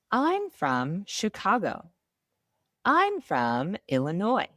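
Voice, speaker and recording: A woman in her thirties teaching a beginner English lesson, saying model phrases slowly and with clear pronunciation. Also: on the low side, no echo, studio quality. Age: 30s. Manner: slow model phrases, bright and upbeat. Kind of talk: slow, clearly enunciated beginner ESL lesson.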